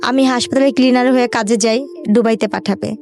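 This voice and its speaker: A woman's voice: a little high, fairly even intonation, fairly quick, darkish tone, soft background music. A young woman with a Bangladeshi accent tells her life story in an interview, colloquially, in run-on sentences with quoted conversations.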